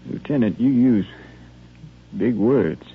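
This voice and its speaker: A man's voice: mid-range voice, slow pace. A male actor playing a plainspoken, humble soldier full of doubts, with folksy, hesitant phrasing, in 1950s radio drama style. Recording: narrow, hissy old radio recording with hum.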